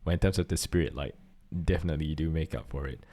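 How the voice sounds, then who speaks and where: A middle-aged Singaporean man with a deep, low voice talks earnestly on a podcast. He thinks aloud, restarting phrases as he searches for the right word. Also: rapid-fire, mellow. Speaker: podcast co-host. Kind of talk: casual chat.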